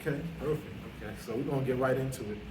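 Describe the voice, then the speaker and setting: A man's voice: medium pitch, racing, recorded from a distance. A man hosting an interview, friendly and affirming, with frequent fillers. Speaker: interview host, branch president. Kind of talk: casual interview conversation. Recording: echoey room, off-mic, noisy.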